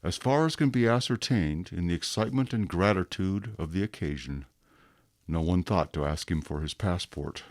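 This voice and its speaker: An actor playing a stern, suspicious police inspector reading his journal entries in a radio drama, with measured, clipped delivery. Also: low voice, no echo, clean studio sound. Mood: suspicious, brooding.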